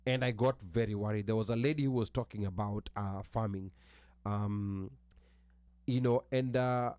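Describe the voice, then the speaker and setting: A man's voice: lowish voice, fairly even intonation, darkish tone, clear, resonant tone, no echo. A man with an American accent hosting a talk radio show, reading a bill aloud with restarts and stumbles. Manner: reads haltingly with restarts, asks about pronunciation.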